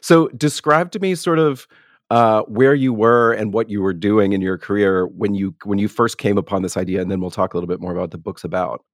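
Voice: deep voice, steady tone, brisk, dry recording, studio quality. An interviewer asking questions in a conversational, slightly hesitant way.

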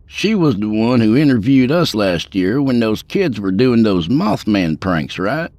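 A man's voice: on the low side, sing-song, measured pace, a little mellow, no room sound, pitched above the performer's own voice. A man voicing a folksy, drawling middle-aged male state trooper in a spoken story. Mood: easygoing. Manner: folksy drawl, laid-back.

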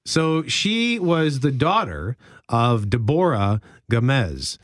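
A man's voice: medium pitch, sing-song, slow, no echo, clean studio sound. A man co-hosting a live radio show, talking in a casual, conversational way. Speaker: radio co-host.

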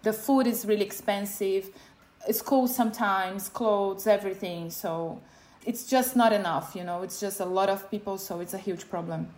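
A woman's voice: mid-range voice, moderate pace, a little mellow, slight background noise. A woman in her thirties from Brazil, with a Brazilian accent, telling her personal story in a casual way as an interviewee. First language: Portuguese. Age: 30s. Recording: music playing behind the voice. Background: background music.

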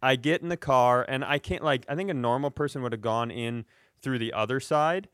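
A man's voice: mid-range voice, brisk, fairly bright tone, clear, resonant tone, no room sound, studio quality. A man hosting a comedy podcast, telling stories casually in a self-deprecating, profane way.

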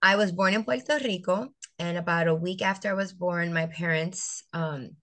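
Reflective lower-voiced woman from St. Croix. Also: normal speed, fairly bright tone. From US Virgin Islands (St. Croix). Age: middle-aged. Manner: reflective, storytelling, with frequent fillers and restarts. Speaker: guest, educator and business founder. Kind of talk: conversational interview.

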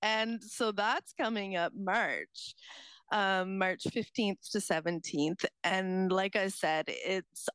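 A woman's voice: medium pitch, slow, fairly bright tone, clean tone, dry recording. A woman chatting casually on air as a community reporter.

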